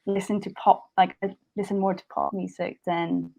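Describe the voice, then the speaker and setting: A young woman who grew up in Sweden, speaking as a guest in a relaxed interview. Her voice sits at an ordinary middle pitch, and she talks in loose, chatty runs, doubling back and restarting her sentences as she goes. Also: moderate pace, creaky. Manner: chatty, many likes and restarts. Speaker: singer-songwriter guest. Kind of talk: casual interview chat.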